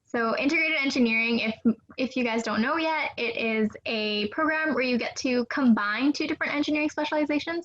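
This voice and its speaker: A young woman speaks as a student panelist. Her voice is somewhat high, and she explains things in a casual way. The audio is a little dull and lo-fi. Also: a bit bright. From British Columbia, Canada. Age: young adult. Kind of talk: casual explanatory self-introduction.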